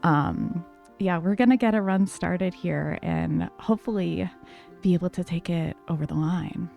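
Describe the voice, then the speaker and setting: A woman livestreaming a game, talking calmly and softly to her chat with lots of fillers. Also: on the low side, unhurried, creaky, soft background music. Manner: soft, calm, many fillers. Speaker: streamer.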